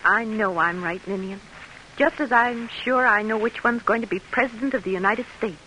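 A woman's voice: fairly high pitch, normal speed, lightly acted. An actress playing an ambitious, determined young lady from Kentucky in an old radio drama. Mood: sure, determined. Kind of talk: 1940s radio acting. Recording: hissy, noisy vintage radio recording.

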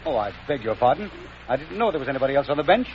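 A man's voice: mid-range voice, very animated, racing, natural voice. An actor playing a polite, formal young man out of work who hesitates, in 1940s radio acting. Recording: dull old radio recording, hiss.

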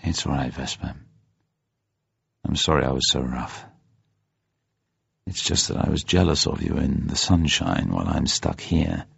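A man voicing a wry, wounded man in an audiobook, gruff yet sentimental, with clipped, restrained delivery. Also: rumbling low, normal speed, dry recording, lo-fi sound, exaggerated voice. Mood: tender, apologetic.

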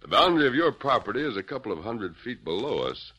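A calm commanding masked hero. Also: male voice, medium pitch, fast talker. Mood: firm. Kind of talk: 1940s radio acting.

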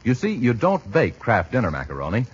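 A man's voice: lowish voice, huge pitch swings, brisk. A man announcing in 1940s radio style, with polished, emphatic salesmanship. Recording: muffled 1940s radio recording, husky sound.

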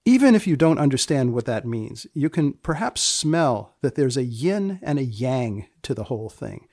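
A man hosts a podcast in a mid-pitched, very animated voice. He delivers scripted lines and questions with mock gravity and a deadpan wit, leaving theatrical pauses. The sound is dry and close. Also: no echo. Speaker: podcast host. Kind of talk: scripted narration and interviewing.

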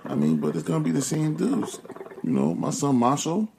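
A young man chatting casually in a relaxed, teasing way. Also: fairly high pitch, moderate pace, creaky, a little hiss or hum. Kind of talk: casual chat.

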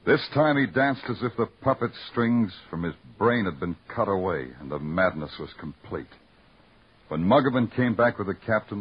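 A man's voice: sits low, slightly rough, exaggerated voice. An actor playing a terse, hard-boiled male police detective who also narrates, in a vintage radio drama. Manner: terse, clipped, brooding narration. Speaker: narrator and lead. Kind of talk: 1950s radio acting. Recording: dull vintage radio broadcast with music score.

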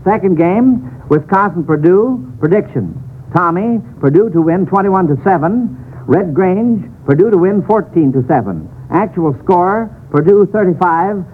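American male radio quiz host, 1940s style, formal and measured, on a thin vintage broadcast. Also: sits high. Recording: tinny, noisy old radio broadcast.

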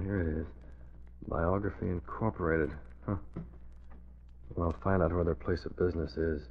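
Level-headed boss, animated old-radio delivery. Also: male voice, sits low, slight background noise. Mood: resolute. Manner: measured, authoritative, explanatory. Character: level-headed, determined male boss, secret crime fighter. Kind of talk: 1940s radio drama acting. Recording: tinny, narrow old radio recording.

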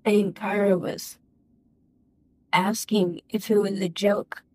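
A woman giving courtroom testimony in a halting, hesitant way, with many restarts and fillers. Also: medium pitch, level tone, darkish tone, dry recording. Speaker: witness.

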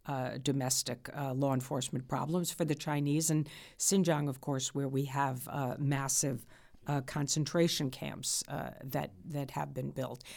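Gravelly male interview host. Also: mid-range voice, flat delivery, a little slow, a little mellow. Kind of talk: conversational interview questioning.

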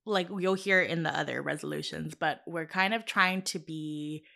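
A young woman talks through plans with her co-host in an easy, chatty way. She laughs often and keeps things upbeat. Her voice sits slightly on the lower side. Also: moderate pace, creaky, bright, forceful tone. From Southern California. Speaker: podcast co-host. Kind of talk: casual chat.

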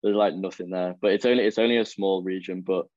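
A man's voice: deep voice, fairly even intonation, fast, a little mellow, no room sound. A young man, chatting as a podcast guest and rambling through plenty of fillers and restarts. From the Wirral, England. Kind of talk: casual chat. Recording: dull lo-fi, dry.

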